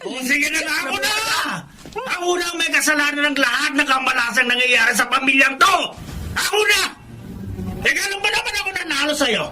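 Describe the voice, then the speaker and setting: A middle-aged father with a very high, strained pitch for a man. He argues loudly, defensive and indignant, in full radio drama style. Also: animated, fairly quick. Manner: indignant, loud arguing.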